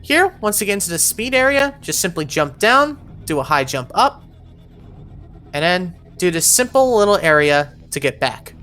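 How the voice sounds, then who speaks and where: A woman's voice: fairly low pitch, very animated, moderate pace, fairly bright tone. A woman narrating an instructional video game walkthrough, giving encouraging step-by-step directions.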